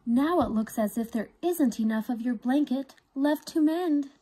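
Woman as a husky, high-voiced mother dog. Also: unhurried, mellow. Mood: disapproving. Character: mother dog, firm but caring with her puppies. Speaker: guest reader. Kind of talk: storybook read-aloud.

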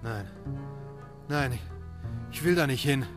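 An actor playing a haunted doctor, a husband living through a nightmare in a radio drama. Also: male voice, medium pitch, expressive, unhurried, natural voice. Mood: panicked. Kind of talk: radio drama acting and first-person narration. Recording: loud music under the voice.

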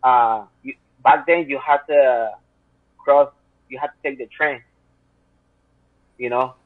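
A man's voice: mid-range voice, fairly even intonation, slow, no echo. A man in his thirties from Central America, with a Spanish accent, telling his own story as an interview guest in an earnest, rambling way full of fillers. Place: home room. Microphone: video call. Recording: tinny phone-like call line.

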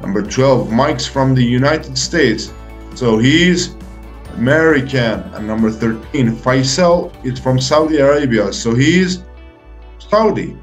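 Slow, deliberate male English teacher. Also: medium pitch, lively intonation, faint music behind. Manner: deliberate, short phrases with pauses, encouraging. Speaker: English teacher hosting a video lesson. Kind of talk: slow, step-by-step instructional talk for beginners.

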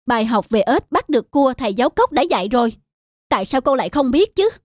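Casting: cartoon mother frog, caring but admonishing, higher register. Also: big pitch swings, fast talker, darkish tone, no echo, studio quality. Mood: scolding. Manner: admonishing, moralizing. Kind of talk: children's cartoon voice acting.

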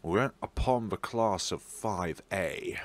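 A man's voice: low-pitched, slow, no room sound. A man hosting a let's play, reading a visual novel aloud theatrically and adding wry, playful asides. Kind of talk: playful gaming commentary and expressive read-aloud of a visual novel.

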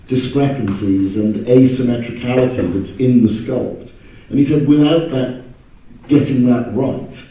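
Very dark-voiced man, distant and echoey. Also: mid-range voice. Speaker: convention panelist. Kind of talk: casual storytelling on a panel. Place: stage in a hall, recorded from audience camera. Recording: very echoey hall, distant microphone.

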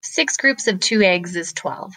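A woman, a math teacher, explaining step by step to children in a deliberate, questioning way. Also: medium pitch, animated, sharp tone.

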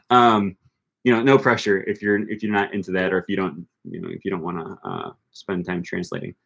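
An upbeat young man hosts a relaxed livestream and reacts to viewer comments as he goes. He talks loosely, with frequent ums and restarts. His voice sits close on a desk microphone in a small room. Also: low voice, moderate pace, vocal fry. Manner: upbeat, thinks aloud with many ums and restarts. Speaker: language-learning channel host. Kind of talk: casual livestream chat reading comments. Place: small room with bookshelf, indoors. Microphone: desk microphone close in front.

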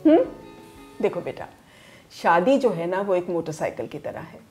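A woman's voice: lowish voice, big pitch swings, moderate pace, a little mellow, faint music behind. An actress playing a teasing, motherly middle-aged mother who mixes in English phrases, in a home living room. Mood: firm. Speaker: groom's mother. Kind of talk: scripted short-film acting.

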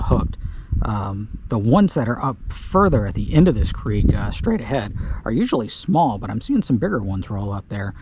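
A man casually talking through a fishing trip from his kayak on a creek, relaxed and full of filler words, recorded on an action camera outdoors. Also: mid-range voice, poor audio. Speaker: fishing guide. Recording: outdoor camera mic, audible background noise.

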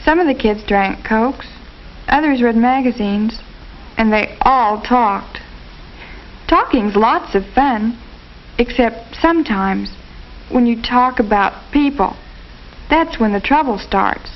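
A woman reading the scripted narration of a 1950s educational film in a measured, storytelling manner. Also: fairly high pitch. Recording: muffled old film soundtrack with hiss.

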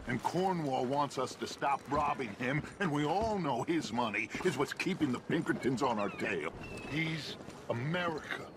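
Grand scheming gang leader, slow, animated, game cutscene. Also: male voice, fairly high pitch, background noise. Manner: persuasive, grand, paternal. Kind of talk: video game cutscene acting.

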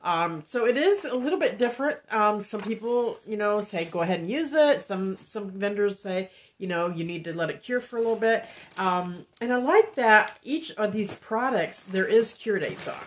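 A woman's voice: medium pitch, animated, normal speed, few pauses. A woman, chatting casually in a haul vlog and sounding nasal from a head cold, with lots of ums. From Indiana, USA. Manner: chatty and rambling, many ums, reads cards aloud. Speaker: wax melt reviewer. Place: home room, indoors.

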